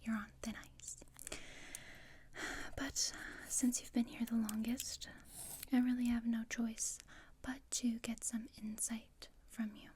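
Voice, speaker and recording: A woman voicing a gloomy, sardonic teenage outcast girl in a whispered ASMR roleplay, speaking in a deadpan, haughty, breathy whisper. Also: fairly high pitch, takes it slowly, exaggerated voice. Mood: cold, grudging.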